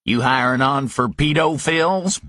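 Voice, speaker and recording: A man puts on an angry Southern ex-con with a Southern US accent, blustering in a raised voice pitched higher than the narrator's. The recording is dry and close. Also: moderate pace, bright, forceful tone, no echo, close to an everyday voice.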